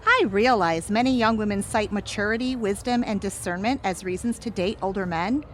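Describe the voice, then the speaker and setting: A woman's voice: medium pitch, moderate pace, a little hiss or hum, lightly acted. A woman playing a perky, blunt young waitress in an audio comedy, teasing as she talks. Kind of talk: audio theatre comedy acting.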